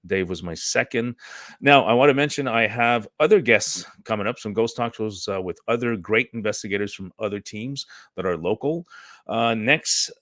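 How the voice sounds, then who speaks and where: A hoarse man with a lowish voice hosts a live stream, chatting casually to viewers. He rambles and clears his throat often. The sound is dry and close. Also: expressive, moderate pace, a bit bright, no room sound. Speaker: host.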